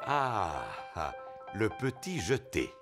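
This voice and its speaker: A man's voice: fairly low pitch, very animated, slow. A man voicing a jolly, gallant daddy pig in a French children's cartoon, hearty and theatrical. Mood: jolly, boastful. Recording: loud cartoon music behind.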